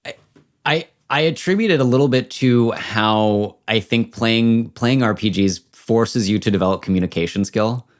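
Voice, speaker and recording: A man's voice: on the low side, normal speed. A man hosting a casual interview, supportive and thinking aloud as he works toward his questions. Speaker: podcast host, interviewer.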